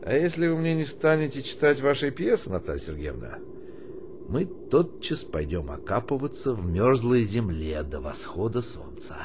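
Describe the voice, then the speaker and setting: A man playing an older army colonel, gruff, commanding and sardonic, in a radio drama. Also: medium pitch, very animated, moderate pace, background noise. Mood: mock-courtly, teasing. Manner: commanding, mock-courtly, sardonic. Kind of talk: theatrical radio drama acting.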